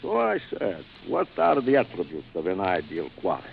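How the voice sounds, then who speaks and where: Suave, cruel general, swooping old-radio delivery. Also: male voice, low-pitched, wildly swooping pitch, vocal fry, exaggerated voice. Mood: proud, sly. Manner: urbane, theatrical, savoring pauses. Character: suave, cruel aristocratic older general who hunts men. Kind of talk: 1940s radio thriller acting. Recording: muffled, noisy vintage radio recording.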